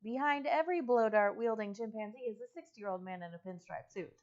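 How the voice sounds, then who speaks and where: A woman's voice: medium pitch, a little fast, lo-fi sound. A woman co-hosting a livestream with casual banter, wry and teasing as she relays viewer comments.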